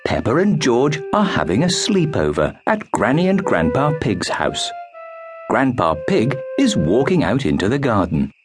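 A man's voice: medium pitch, wildly swooping pitch, unhurried, music in the background. A man narrating a children's storybook as an audiobook reader.

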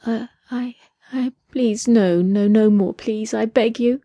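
A woman voicing a terrified young woman held captive, stammering and pleading in a raised pitch. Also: fairly even intonation, takes it slowly, dark tone, dry recording, dull audio.

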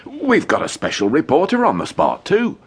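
An actor playing a chatty, deferential village police sergeant in a radio detective drama. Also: male voice, on the high side, huge pitch swings, normal speed, slightly rough, full character voice. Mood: helpful, amused. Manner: chatty, deferential, full of sirs.

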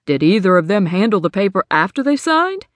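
Woman voicing a calm, methodical sheriff. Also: mid-range voice, no room sound, lightly acted. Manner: measured and matter-of-fact.

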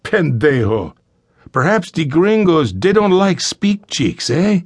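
A man's voice: on the high side, sing-song, takes it slowly, breathy edge, dry recording, studio quality, voice pushed up, full character voice. A man voicing an arrogant, taunting kidnapper gang leader in Spanish-accented English for an audiobook. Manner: taunting, sneering.

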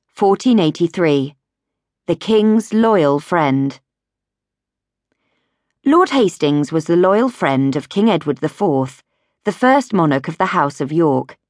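A woman reading a history audiobook as a scripted narration, in a measured, clearly phrased storytelling manner. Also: on the low side, dry recording, studio quality. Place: recording studio.

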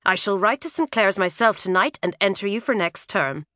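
A woman's voice: fairly low pitch, very animated, normal speed, sharp tone, no echo, studio quality. A woman voicing a stern, pipe-smoking middle-aged father who lectures his daughters.

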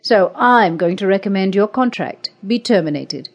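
A woman's voice: medium pitch, animated, moderate pace, lightly acted. Woman putting on an icy, commanding businesswoman. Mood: cold. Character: cold domineering woman assessor, cruel and proud.

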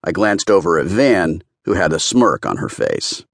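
A man's voice: low voice, very animated, moderate pace, gravelly, dry recording, clean studio sound. A man narrating first-person fiction as a scripted audiobook reader.

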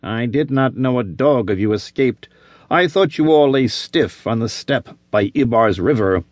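Man doing a haughty cruel lord, scornful, mid pitch, dry sound. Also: lively intonation, unhurried, no echo, natural voice.

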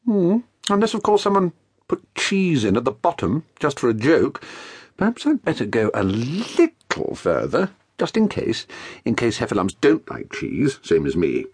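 A man voicing a slow, amiable, greedy bear in a dramatised children's story, musing and hesitant in a husky voice. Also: mid-range voice, huge pitch swings, darkish tone, no echo, heavily acted. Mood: musing, sheepish. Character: Pooh, slow, dim, greedy, amiable honey-loving bear.

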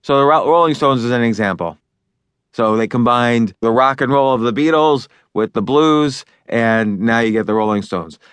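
A man's voice: mid-range voice, normal speed, a bit bright, clear, resonant tone, no room sound. A man narrating his own book in a loose, conversational way, with fillers and restarts as he talks over each point. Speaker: author and narrator.

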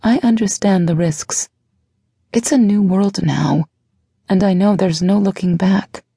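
A woman's voice: mid-range voice, a little slow, vocal fry, mellow, slightly rough, no echo, studio quality, voice pushed up. A woman reading an audiobook voices a fiery, devoted young woman who scolds and pleads with her partner. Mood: emotional. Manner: pleading, insistent.

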